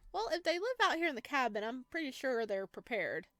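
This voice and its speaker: A woman's voice: high-pitched, moderate pace, no echo. Woman reading a horror game aloud, dry close sound. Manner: reads narration at an even pace, breaking off for chatty asides and giggles. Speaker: game streamer. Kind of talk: reading a visual novel aloud with commentary.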